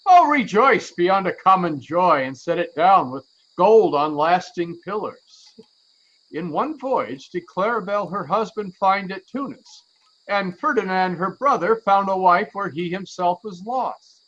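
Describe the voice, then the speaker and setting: An actor playing a devout, talkative, kindly old counsellor in a Shakespearean radio play, effusive and declamatory. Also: male voice, sits high, animated, a little slow, a bit bright, dull audio, full character voice. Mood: joyful reverence.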